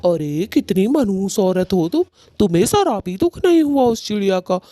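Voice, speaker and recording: Man voicing a mellow, melodramatic cartoon bird husband. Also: sits high, sing-song, normal speed, no room sound, clean studio sound. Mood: scornful. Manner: dramatic, reproachful. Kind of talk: Hindi cartoon story dialogue.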